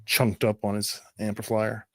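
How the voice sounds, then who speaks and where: A man's voice: on the low side, completely flat delivery, unhurried, dry recording. A man narrating an improvised tabletop roleplaying game as game master.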